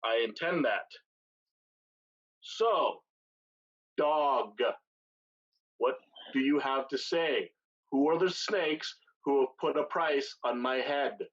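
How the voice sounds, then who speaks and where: A man playing a wry, ruthless pirate captain in a staged play reading, measured and authoritative, with dull recorded sound. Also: medium pitch. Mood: wry command.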